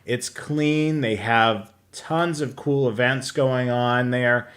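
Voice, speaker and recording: American man in casual, halting chat. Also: mid-range voice, fairly even intonation, a bit bright. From New England, United States. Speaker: co-host of a live scanner hobby show.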